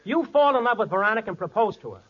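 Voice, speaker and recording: A fast, double-talking director. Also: male voice, high-pitched, sing-song. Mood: indignant. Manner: rapid double-talk, nonsense slipped into sentences. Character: fussy male film director babbling earnest gibberish. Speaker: comic supporting actor. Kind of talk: 1940s radio comedy acting. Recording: muffled 1940s radio recording, studio audience.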